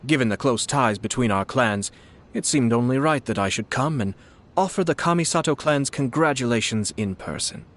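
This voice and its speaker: An actor playing a refined young lord in a video game, speaking in a formal, measured and polite manner. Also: male voice, medium pitch, animated, lightly acted. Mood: gracious. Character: refined young noble lord, courteous, composed commissioner. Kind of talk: scripted video game dialogue.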